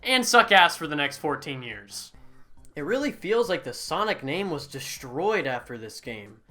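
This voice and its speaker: A sarcastic game reviewer doing a comedic voice-over in a bright, forceful voice. The delivery is mocking throughout and keeps breaking into loud, high-pitched outbursts. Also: big pitch swings, moderate pace, faint music behind.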